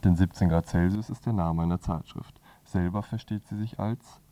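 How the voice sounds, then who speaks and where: Low-voiced man reading a radio review. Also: steady tone, normal speed, mellow, no room sound. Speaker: radio presenter reviewing a magazine. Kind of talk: scripted radio review narration.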